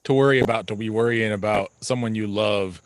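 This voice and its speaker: Easygoing man with a lowish voice. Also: steady tone, a little fast, background noise. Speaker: podcast host. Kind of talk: casual conversational interview. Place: outdoors, video call. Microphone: video call.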